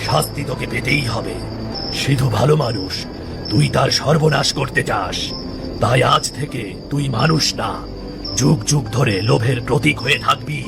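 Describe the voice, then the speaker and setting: A stern cartoon ghost with a creaky, gravelly voice played by a man. He sounds solemn and commanding, a righteous protector at medium pitch, with music playing behind him. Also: moderate pace, lightly acted. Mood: stern, condemning. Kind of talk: dramatic cartoon voice acting.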